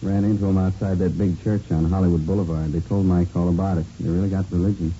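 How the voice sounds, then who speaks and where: A man's voice: sits low, fairly even intonation, fast talker, mellow, close to an everyday voice. An actor playing an obliging, chatty male gym proprietor in a vintage radio police drama. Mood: obliging, casual. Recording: muffled, noisy vintage radio recording.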